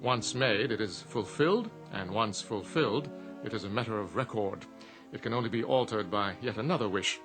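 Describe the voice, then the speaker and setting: An actor playing an urbane, smug, ancient genie in a radio drama, with a suave, formal, theatrical manner. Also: male voice, on the low side, moderate pace, music in the background, natural voice. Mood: suave, teasing.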